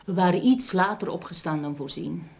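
A woman's voice: fairly low pitch, fairly quick, creaky, darkish tone. An actress playing a prim, haughty married lady in a Dutch radio drama, speaking in a stiff, curt, disdainful way. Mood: curt, factual. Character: haughty, prim, level-headed married upper-class woman. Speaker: actor.

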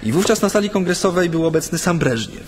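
A man's voice: high-pitched, animated, fast, a little hiss or hum. An actor playing a talkative, wry dissident recounting his past to an interviewer in a radio play, with hesitant, chatty delivery. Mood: wry reminiscing. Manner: hesitant, chatty, amused storytelling. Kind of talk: radio drama acting, recounting memories in an interview. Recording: studio radio play with faint music.

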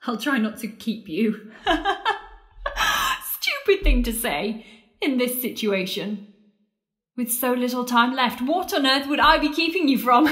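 A woman's voice: fairly high pitch, expressive, measured pace, a bit bright. A woman playing a sardonic, frightened penitent at the world's end in an audio drama, babbling and joking wryly out of nerves. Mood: nervous joking. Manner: babbling, wry, joking nervously. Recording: echoey room.

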